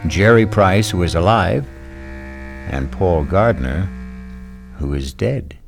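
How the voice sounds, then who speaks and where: Very deep, slow radio mystery host. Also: male voice, expressive, creaky. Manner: ominous, deliberate. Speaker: host and narrator. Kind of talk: scripted radio mystery narration. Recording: vintage radio recording with music.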